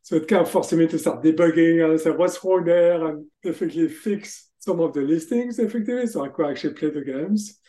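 A middle-aged man from France speaks English with a clear French accent. His voice is a little higher than most men's yet dark and mellow in tone. He answers in a casual, halting way, often restarting his sentences. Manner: hesitant, restarts phrases, laughs between thoughts. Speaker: guest, tech executive.

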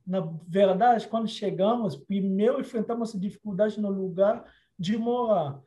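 A man's voice: high voice, unhurried. A man from Congo, not a native speaker of Portuguese, earnestly telling his life story in an interview. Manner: earnest storytelling with frequent restarts and soft laughs.

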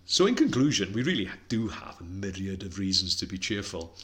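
Bright-voiced man, chatty podcaster. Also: sits low, sing-song, brisk. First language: Welsh. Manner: chatty, enthusiastic, reeling off facts and figures. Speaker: podcast co-host. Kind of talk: casual podcast chat.

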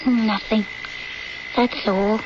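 A fragile, hesitant woman in a radio drama. Her voice is fairly high and very slow, almost pleading. The old recording is muffled and noisy. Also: steady tone. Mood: timid, pleading. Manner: halting, timid.

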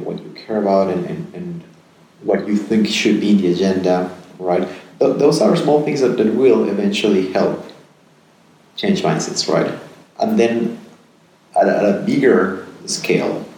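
Deep-voiced man in an echoey room. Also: darkish tone. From Peru. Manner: thoughtful, repeats words and tags sentences with "right?". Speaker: podcast guest. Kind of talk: conversational interview answers. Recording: very echoey room, faint ambient noise.

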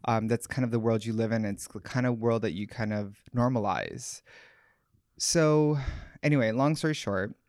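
A man's voice: mid-range voice, moderate pace, a little mellow, no echo, studio quality. Candid male guest from Hawaii. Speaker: podcast guest. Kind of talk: personal storytelling interview.

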